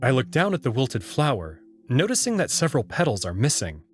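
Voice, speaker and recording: A man's voice: medium pitch, wildly swooping pitch, fairly quick. A man reading first-person story narration from a script. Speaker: narrator reading a visual novel aloud. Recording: music playing behind the voice.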